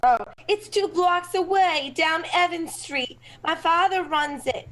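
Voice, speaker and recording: A woman's voice: very high voice, unhurried, a bit bright, a little hiss or hum, low-quality recording. A woman playing an anxious, earnest daughter loyally defending her man in an old-time radio crime play.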